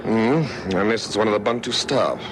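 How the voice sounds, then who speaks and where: An actor playing a dogged, sceptical police lieutenant with a South African accent and a brisk, dry, questioning manner in a vintage radio drama. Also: male voice, fairly low pitch, noisy background, lightly acted. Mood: thoughtful.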